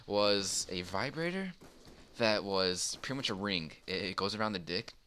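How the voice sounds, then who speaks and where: A man's voice: lowish voice, animated, moderate pace, sharp tone. A young man rambling casually through a personal story in an informal, crude, amused way. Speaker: storyteller in a gaming video. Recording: faint background noise.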